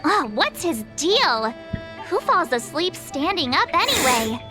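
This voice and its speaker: A high, girlish voice for an anime-style game heroine. She is an excitable, naive young adventurer, bubbly and chatty, with music playing behind her. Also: measured pace, exaggerated voice. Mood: puzzled. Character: perky, excitable, naive teenage adventurer girl.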